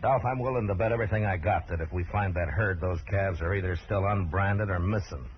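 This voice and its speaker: Man playing deep-voiced steady frontier marshal, old radio. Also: natural voice. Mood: stern, calm. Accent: American. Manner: measured, terse, authoritative. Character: steady, principled frontier US marshal, calm but firm. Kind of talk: old-time radio drama acting. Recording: dull, noisy old radio recording.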